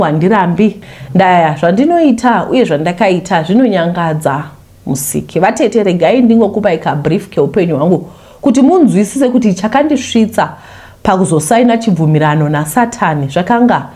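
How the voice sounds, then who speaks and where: A woman from Zimbabwe, a host who chats animatedly with viewers and then reads a confession aloud. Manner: animated, talks straight to viewers, voice leaps high when scolding. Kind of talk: chatty intro, then reading a confession aloud.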